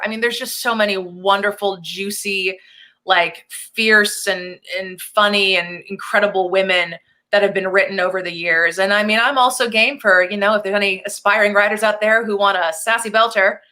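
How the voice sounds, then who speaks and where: A woman in her thirties, a musical theater performer, chatting in a casual interview, upbeat and quick to laugh, with lots of fillers. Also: mid-range voice, pushed and bright. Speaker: actor and singer, interview guest.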